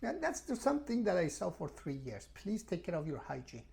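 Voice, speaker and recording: Female travel vlogger, non-native English, mellow creaky voice, rambling and exasperated to camera. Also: fairly low pitch, sing-song, fairly quick, slight background noise. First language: not English. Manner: emphatic, repetitive, exasperated storytelling. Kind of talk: casual rambling rant to camera.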